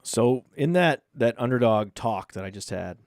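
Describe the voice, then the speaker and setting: A man from Maine with a mostly suppressed Maine accent, hosting a casual sports podcast, often repeating words and trailing off quietly. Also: medium pitch, animated, moderate pace, dry recording, clean studio sound. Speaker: podcast host.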